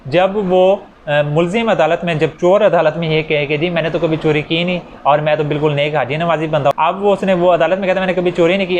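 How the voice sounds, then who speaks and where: A man with a Pakistani accent giving an informal law lecture, explaining step by step in a conversational way with rhetorical questions. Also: a little high. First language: Urdu. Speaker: law teacher. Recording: noisy recording with background ambience.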